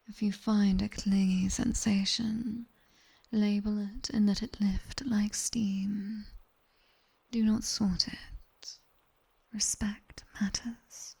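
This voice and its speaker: A British woman playing a sharp-tongued nightmare demoness tutor in an ASMR roleplay, whispering breathily and close to the microphone with clipped, teasing authority. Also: mid-range voice, little pitch movement, slightly rough, exaggerated voice. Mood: calm, instructing. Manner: slow, clipped instructions with dry, teasing praise. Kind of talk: ASMR roleplay, guided sleep session. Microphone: close binaural microphone.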